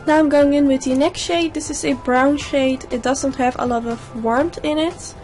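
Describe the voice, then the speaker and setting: A young woman with a slightly hoarse voice from a sore throat, calmly explaining each step of a makeup tutorial in a voice-over. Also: on the high side. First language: Dutch. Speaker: makeup YouTuber.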